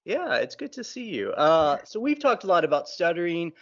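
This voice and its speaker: A man's voice: fairly high pitch, big pitch swings, normal speed, fairly bright tone. A man who stutters, interviewing in an informal, conversational way with occasional repeated words. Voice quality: occasional word repetitions of a stutterer. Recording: slightly dull, lo-fi sound.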